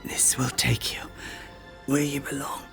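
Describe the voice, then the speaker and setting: A man voicing a weak, gentle dying fisherman in a soft, breathy whisper for a storybook. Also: medium pitch, level tone, faint music behind. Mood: tender, weak. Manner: soft, faint, slow.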